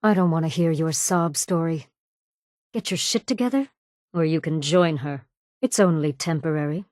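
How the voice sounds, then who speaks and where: Woman acting as female raider gang leader, video game, lowish voice, cold, sardonic, menacing, dry studio sound. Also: normal speed, darkish tone, no room sound, natural voice. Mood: stern, commanding. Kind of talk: video game character dialogue.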